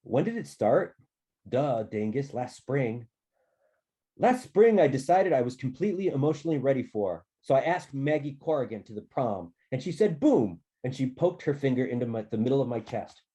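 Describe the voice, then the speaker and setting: A man reading a novel aloud as a goofy, lovestruck, optimistic teenage boy, excitable and earnest in an animated first-person telling. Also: mid-range voice, normal speed, close to an everyday voice. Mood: excited, smitten. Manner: excitable, earnest, slangy and emphatic.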